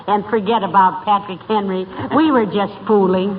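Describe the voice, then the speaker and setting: A woman's voice: mid-range voice, steady tone, normal speed, close to an everyday voice. Woman playing a mock-innocent wife, distant tinny radio. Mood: friendly. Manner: mock-innocent, gently chiding. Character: homey wife, innocently teasing and gently scolding. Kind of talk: 1940s radio comedy acting. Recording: distant, noisy, tinny 1940s radio broadcast.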